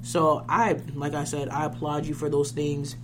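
A young woman with a fairly low voice hosts a casual, animated chat. She speaks emphatically and informally, like someone telling stories to friends. A clear hum and noise sit behind her. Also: moderate pace. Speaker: podcast host. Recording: noisy recording with clear hum.

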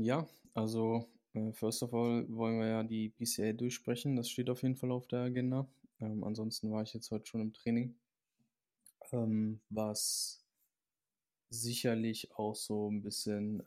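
A man's voice: fairly low pitch, dark tone. A man, a guest athlete on a podcast, talking casually and rambling with frequent fillers.

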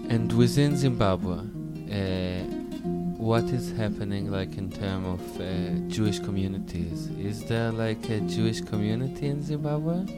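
Low-voiced man hosting camp radio. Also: measured pace. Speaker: radio host. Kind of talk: live radio interview. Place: summer camp radio station. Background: loud guitar music.

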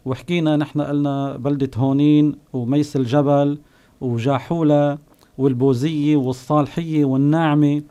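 A man with a Palestinian Bedouin accent, a guest recalling his home village, pausing often with long filler sounds. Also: a little high, level tone, dark tone, clean tone. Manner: unhurried storyteller, pauses often with drawn-out "ah" fillers. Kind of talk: reminiscing oral history on a call-in show.

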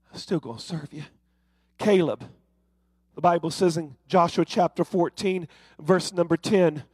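A male preacher with a high, bright-edged voice, working through his sermon with rising, rhythmic refrains. The sound is dry and close, with no room around it. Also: dry recording.

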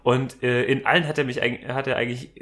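A man's voice: mid-range voice, little pitch movement, fast, a bit bright. Laid-back man co-hosting a casual podcast. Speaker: podcast co-host. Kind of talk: casual chat.

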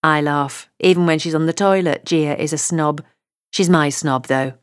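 Woman narrator, lowish voice, wry chatty audiobook read, dry booth sound. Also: moderate pace, clear, resonant tone, dry recording. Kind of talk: first-person comic novel reading.

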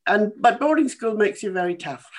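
A woman in her eighties from Kenya, a former dancer telling her life story in a halting, thoughtful way with small laughs. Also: medium pitch, sing-song. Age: 80s. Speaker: interview guest, former dancer. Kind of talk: casual remote interview.

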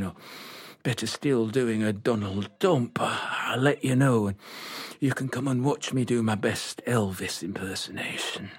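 A man's voice: mid-range voice, breathy edge, no echo. An actor playing a confused older man in his sickbed who cracks wry puns and drifts in and out. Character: confused old man with a cheeky wit. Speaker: elderly patient. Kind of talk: audio drama acting.

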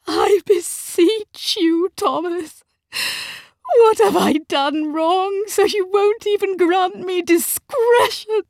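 A woman voicing an overwrought aristocratic wife and mother in an audiobook, emphatic, shrill and trembling with feeling. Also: slow pace, darkish tone, dry recording, studio quality, full character voice. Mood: sobbing, pleading. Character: anguished aristocratic mother, outraged and pleading wife. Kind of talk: audiobook character acting.

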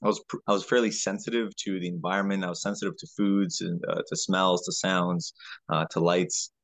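A man, a health coach answering as a podcast guest in a halting, conversational way, often repeating words. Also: sits low, steady tone, creaky, a bit bright, no room sound.

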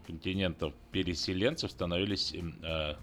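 A man co-hosting a radio chat, explaining things in an unhurried way with fillers. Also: sits low, fairly even intonation, fairly bright tone, faint music behind. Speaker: radio co-host.

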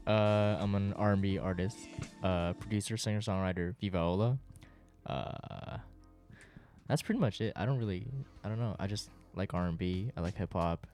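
A man in his twenties, a Japanese native speaker raised in Japan and born in Korea, talking about his music in a relaxed way with many "you know" fillers. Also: sits low, normal speed. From born in South Korea, raised in Japan. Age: 20s. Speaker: R&B artist and producer. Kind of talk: casual interview talk.

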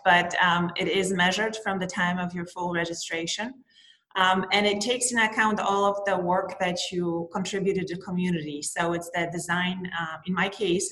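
A young woman with a Polish accent answers questions thoughtfully, pausing on frequent ums. Her voice sits a little low, and the room gives it a clear echo. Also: a bit bright. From Poland. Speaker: interviewee, architect. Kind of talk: interview answers. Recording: echoey room.

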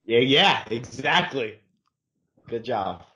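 A young man whose first language is Greek, teasing and laughing in casual banter with a slightly halting delivery. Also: mid-range voice, expressive, bright, forceful tone, rough recording.

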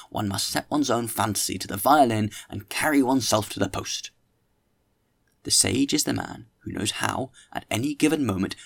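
A man's voice: fairly low pitch, vocal fry, no room sound. A man voicing a pompous, tipsy young orator who preaches grandly at a dinner in an audiobook.

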